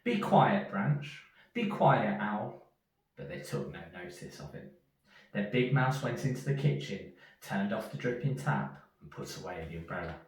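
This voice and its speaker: A man reading a children's story aloud in an expressive storyteller's manner. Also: fairly low pitch, moderate pace. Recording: echoey room, distant from mic.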